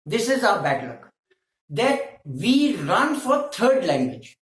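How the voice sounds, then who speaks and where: A woman's voice: mid-range voice, sing-song, slow, echoey room. A woman with a Pakistani accent, a native Hindko speaker, giving a teacher training lecture in an emphatic, scolding way full of rhetorical questions.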